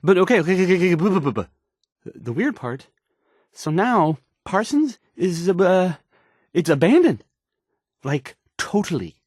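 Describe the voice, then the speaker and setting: An actor playing a nervous, bumbling, insecure male radio DJ in a video game, with a halting, stammering, unsure delivery. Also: high-pitched, sing-song, a little mellow, dry recording, studio quality. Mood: nervous, flustered. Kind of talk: in-character radio news broadcast, video game voice acting.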